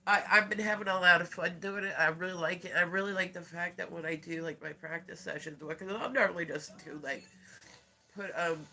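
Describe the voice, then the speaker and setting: A man with a high, bright voice chats casually to his livestream audience. He sounds congested and nasal, rambles with lots of filler words, and laughs often. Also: fairly even intonation, fairly quick, vocal fry. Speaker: live streamer.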